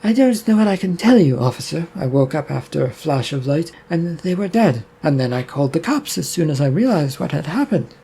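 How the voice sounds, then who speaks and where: A man's voice: fairly high pitch, sing-song, fairly quick, creaky, few pauses, mellow, breathy edge, full character voice. A man voicing a flustered woman reporting a death on the phone, stammering and shaky. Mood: feigning confusion.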